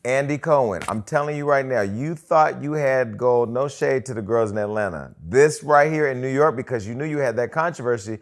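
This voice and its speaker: A man in his forties hosting a talk show, chatting casually and hyping up his guest with lively energy. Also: mid-range voice, a little fast, hardly any pauses. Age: 40s. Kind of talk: casual interview chat.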